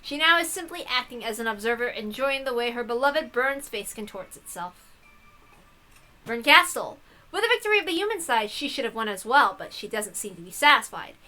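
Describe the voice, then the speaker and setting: A woman's voice: a little high, big pitch swings, brisk, bright, forceful tone, faint music behind. A woman hosting a game playthrough, reading text aloud and adding playful, casual asides. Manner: playful, giggly, swearing asides.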